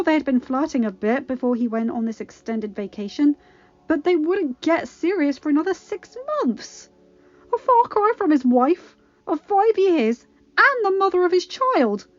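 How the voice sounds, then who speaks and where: Unhurried woman narrating a dramatic story. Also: sits high, big pitch swings, no echo, highs cut off. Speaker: podfic narrator.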